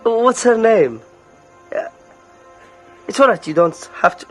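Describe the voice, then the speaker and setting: An actor playing a callous slave merchant in an audio drama, coaxing and polite with menace underneath. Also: male voice, high-pitched, expressive, slow, a bit bright, faint music behind, exaggerated voice. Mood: playful, coaxing. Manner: casual, coaxing politeness laced with threat.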